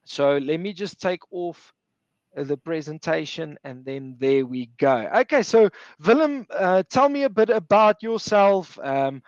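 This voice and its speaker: A man's voice: a little high, expressive, measured pace, no echo, lo-fi sound. A man whose first language is Afrikaans hosts a webinar interview, often repeating words as he goes. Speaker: webinar host.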